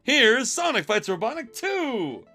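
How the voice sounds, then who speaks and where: Guy reading fanfic on stream, super animated, sharp forceful voice, keeps slipping in silly asides. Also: very high-pitched, measured pace. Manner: theatrical delivery, breaks into giggles and asides. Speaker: streamer reading fan fiction aloud. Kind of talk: dramatic, mocking story reading on a casual stream. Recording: music behind the voice. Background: music.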